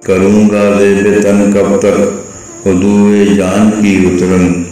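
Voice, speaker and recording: A man recites poetry as a poet, in a deliberate, lilting, measured way with pauses between phrases. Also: on the low side, monotone, dark tone. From Pakistan. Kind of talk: slow, measured Urdu ghazal recitation. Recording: heavy echo, distant voice, music behind. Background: music.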